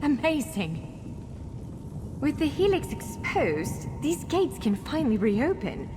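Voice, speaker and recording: A woman's voice: high voice, very animated, slow, heavily acted. A woman playing an earnest, encouraging female elf scholar who guides a hero in a fantasy video game. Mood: awed. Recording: long hall reverb, faint music.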